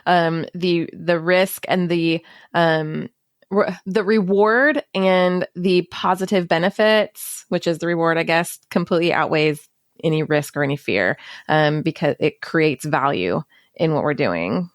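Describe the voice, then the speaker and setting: A woman's voice: deep voice, measured pace, dry recording, studio quality. A woman hosting her podcast in casual conversation, open and chatty, laughing easily and filling with "like" and "um". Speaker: podcast host.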